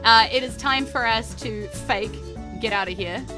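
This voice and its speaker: A woman's voice: fairly high pitch, normal speed. A woman with a New Zealand accent hosting a podcast in a chatty, giggly way, as its dungeon master. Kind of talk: casual podcast outro chat. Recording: music behind the voice.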